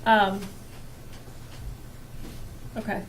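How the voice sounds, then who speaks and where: A woman's voice: very slow, a little hiss or hum, rough recording. A woman committee member asking questions, thinking aloud and checking understanding as she goes. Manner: thinking aloud, seeking confirmation.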